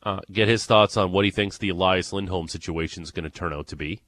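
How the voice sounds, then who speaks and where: Ontario-accented male morning-radio host, lowish and dry-miked, excitable and teasing. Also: fast, dry recording. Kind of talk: morning sports radio chat. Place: radio studio. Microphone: studio microphone.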